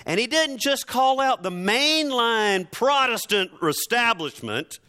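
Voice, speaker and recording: A man's voice: very high-pitched, expressive, pushed and bright, clear, resonant tone. A man preaching a dramatic sermon with emphatic, theatrical, rhetorical delivery and sardonic asides. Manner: emphatic, theatrical delivery with deliberate repetition for effect.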